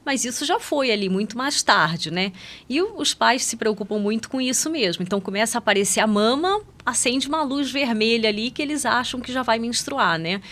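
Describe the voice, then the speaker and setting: High-pitched Brazilian woman explaining. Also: animated, fairly quick, a bit bright. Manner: explanatory, often seeks agreement with tag questions. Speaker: guest pediatric endocrinologist. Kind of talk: conversational podcast interview explanation.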